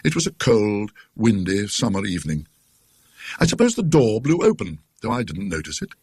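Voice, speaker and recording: An earnest, donnish English gentleman played by a male narrator from a gothic ghost story. His husky voice rises and falls widely, suspicious and emphatic, in a dry close recording. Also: on the low side, wildly swooping pitch, moderate pace, dry recording, exaggerated voice. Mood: dry, reflective. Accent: British.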